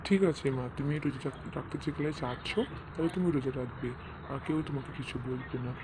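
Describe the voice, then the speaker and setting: A man voicing a gentle, devout father in cartoon dialogue, with some noise in the recording. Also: medium pitch, fast talker, close to an everyday voice. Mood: reassuring. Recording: faint background noise.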